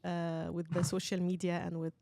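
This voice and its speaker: A woman's voice: fairly low pitch, completely flat delivery, normal speed, creaky, warm tone, no room sound. A woman, a podcast guest who speaks earnestly and uses many fillers. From Egypt. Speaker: guest, head of engineering. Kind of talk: casual podcast conversation.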